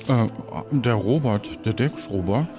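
A man's voice: mid-range voice, unhurried, close to an everyday voice. A man playing a muddled, fretful pirate crew member in an improvised audio play, hesitant and repeating himself. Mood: chatty. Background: background music.

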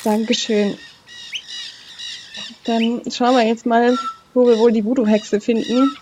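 High-voiced woman playing a tabletop game. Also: level tone, slow pace, noisy background. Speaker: game player. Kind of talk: casual tabletop role-play.

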